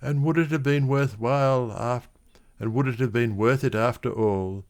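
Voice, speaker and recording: A man reciting literary verse dramatically, with measured, expressive phrasing. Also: medium pitch. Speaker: poetry reader.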